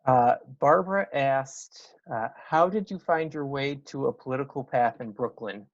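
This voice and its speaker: A man of medium pitch moderates an informal question-and-answer session, relaying questions from the audience. The sound is a little dull and lo-fi. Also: moderate pace.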